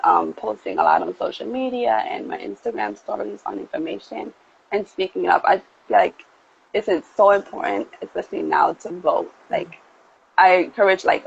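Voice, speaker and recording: A woman, talking earnestly and haltingly with many fillers in a casual livestream conversation. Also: mid-range voice, vocal fry, muffled recording, slight background noise. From United States. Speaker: model and activist, guest. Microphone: phone, video call.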